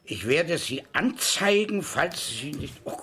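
A man's voice: on the high side, sing-song, takes it slowly, pushed and bright, slight background noise, exaggerated voice. An actor playing a sarcastic, middle-aged police commissioner and blustering father in a German radio crime play. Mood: sardonic. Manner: sardonic, blustering, easily irritated.

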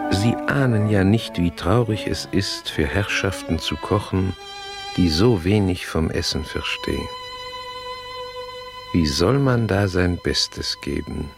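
An actor playing an emotional, grateful French head chef in a German radio play, speaking slowly and fervently. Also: male voice, deep voice, vocal fry, full character voice.

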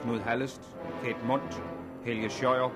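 1960s radio announcer, male, mid-pitched, formal credit read, prominent music behind. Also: level tone. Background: loud instrumental music.

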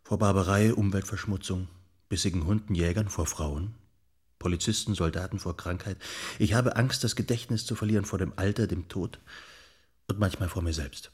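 An actor playing a thoughtful, anxious journalist in a radio drama, reflective and measured. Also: male voice, sits low, slightly rough. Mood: pensive. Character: thoughtful, anxious male journalist, candid and dryly articulate.

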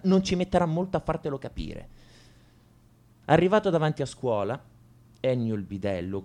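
Un attore che interpreta un liceale tormentato e amareggiato, in un monologo confessionale di radiodramma, con enfasi riflessiva. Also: male voice, mid-range voice, mellow. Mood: wry, bitter. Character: brooding male high school student confessing to violence. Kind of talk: radio drama monologue, first-person narration.

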